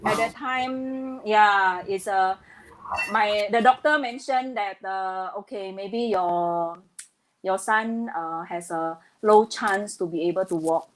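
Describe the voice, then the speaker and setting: A woman in her thirties from Indonesia, a guest speaking earnestly and hesitantly with many pauses and fillers. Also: medium pitch, a little hiss or hum, poor audio. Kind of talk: casual interview talk.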